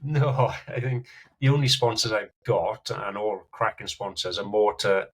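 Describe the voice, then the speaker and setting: Husky Welsh man, chatty storyteller. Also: lowish voice, measured pace. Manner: chatty storyteller, often laughs, many "you know"s and "um"s. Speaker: guest endurance athlete. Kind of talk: casual podcast chat.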